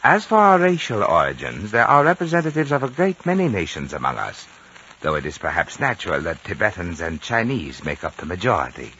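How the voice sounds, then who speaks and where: An actor playing a courteous, enigmatic elderly Chinese lamasery guide with formal, deliberate speech in a 1950s radio drama. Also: male voice, low-pitched, huge pitch swings, pushed and bright, heavily acted. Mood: serene, gently probing. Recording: dull, noisy 1950s radio recording.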